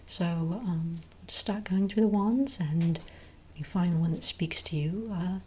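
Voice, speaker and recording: Soft, breathy, low-voiced woman in ASMR role play. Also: slow pace. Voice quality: soft, breathy, often near-whispered. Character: friendly long-established magic wand shop owner.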